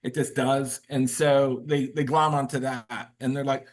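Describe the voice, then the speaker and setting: A man's voice: medium pitch, flat delivery, a little slow. A man who is a guest author, talking casually on a video call, rambling with many fillers and restarts. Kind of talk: casual webinar talk.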